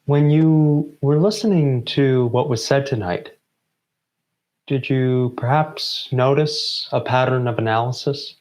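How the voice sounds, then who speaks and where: Slow, deliberate man giving closing remarks. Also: mid-range voice, a little mellow. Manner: deliberate, halting phrases with pauses. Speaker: member of a student theatre group giving closing remarks. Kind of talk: prepared closing address.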